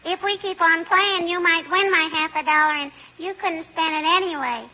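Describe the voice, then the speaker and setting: Sly, chirpy little girl, extremely high voice, 1940s radio comedy, muffled recording. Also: moderate pace, sharp tone. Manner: chirpy, childlike, slangy. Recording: dull old radio recording.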